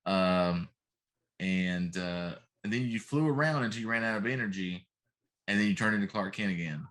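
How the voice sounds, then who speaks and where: A middle-aged man with a fairly low voice chats casually as a show co-host. He is emphatic and likes to exaggerate his complaints for comic effect. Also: moderate pace, a bit bright. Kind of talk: casual chat.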